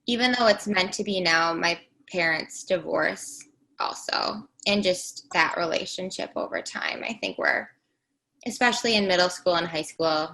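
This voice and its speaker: A young woman with a high, bubbly voice co-hosts a casual podcast chat. Her tone is bright, and some vocal fry runs through it. She sounds upbeat and friendly. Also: normal speed, poor audio. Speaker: podcast co-host.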